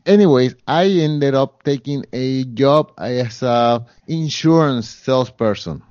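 A man's voice: mid-range voice, slow, darkish tone, no echo, lo-fi sound. A man with a Spanish accent, a guest in an interview, talking earnestly with many fillers and restarts. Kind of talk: interview conversation.

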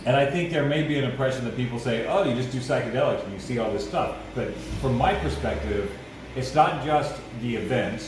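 Guy co-host with a big loud voice, far from the mic in a very echoey noisy spot. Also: mid-range voice. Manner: talks loud, reflective. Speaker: podcast co-host. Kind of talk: casual interview chat. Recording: distant, very echoey, noisy livestream audio.

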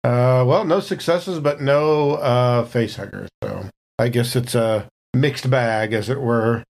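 A man's voice: medium pitch, slow, creaky, a little hiss or hum. A man chatting casually as a player in a tabletop game, with a wry, jokey manner.

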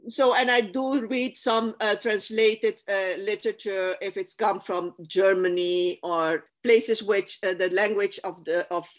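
A woman's voice: mid-range voice, steady tone, unhurried, few pauses. A woman from Cuba who grew up in Curacao, a native Spanish speaker, chatting as a podcast guest, hesitant with many fillers and restarts. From Cuba, raised in Curacao. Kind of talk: casual interview chat.

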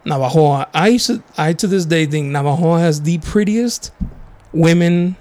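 A man's voice: a little high, normal speed, a little mellow. A man hosting a podcast, chatting casually, joking and laughing often with many fillers. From United States, family in Mexico. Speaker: podcast host. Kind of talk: casual chat.

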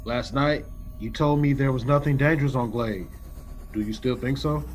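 A man playing a stern, practical colonial executive in a classic radio play, speaking with measured authority. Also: mid-range voice, close to an everyday voice.

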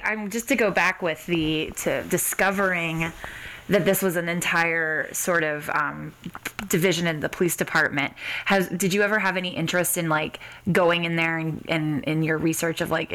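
Female podcast host. Slightly low pitch, very bright tone, casual interviewer delivery. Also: fairly even intonation, normal speed, slight background noise, low-quality recording.

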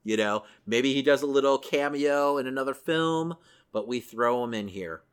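A man's voice: mid-range voice, moderate pace, clean tone. A man co-hosting a podcast, chatting casually and thinking aloud as he goes. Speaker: podcast co-host. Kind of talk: casual chat about comic book films.

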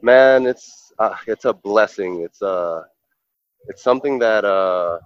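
A man with a very deep voice talks thoughtfully through his answers, pausing on fillers and starting over. The recording is dry with little room sound, and a bit dull in the highs. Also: clear, resonant tone, no room sound. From New York. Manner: earnest, reflective, with many fillers and restarts. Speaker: professional goalkeeper. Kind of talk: interview answers.

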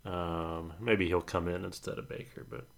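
A man's voice: low voice, little pitch movement, normal speed, vocal fry. A young man co-hosting a casual sports podcast, rambling in a laid-back way. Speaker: podcast co-host. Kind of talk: casual sports chat and ad read.

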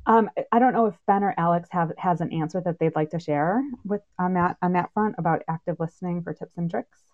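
Low-voiced woman on a phone-like line. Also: fairly quick, darkish tone. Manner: affirming, informal. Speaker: panel moderator, podcaster. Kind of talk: casual panel moderation.

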